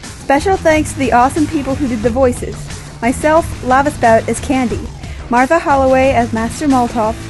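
A woman's voice: high-pitched, moderate pace, music in the background, poor audio. A young woman reading a scripted outro and credits as the creator of an audio show. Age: teenager.

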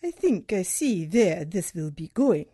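A woman acting a calculating yet kindly-seeming mentor, with a husky voice and stilted, oddly phrased formal speech, in an amateur audio drama. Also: lowish voice, very animated, unhurried, warm tone, dry recording, exaggerated voice. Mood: puzzled, probing. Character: kindly-seeming, calculating Sith mentor, quaintly foreign-sounding.

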